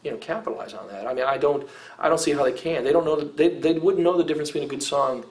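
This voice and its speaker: A man's voice: fairly high pitch, fast talker. A middle-aged man who sings rock, talking in a casual interview, rambling with many fillers and restarts. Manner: rambling, heavy use of "you know" and "I mean", frequent restarts. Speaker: rock vocalist giving advice.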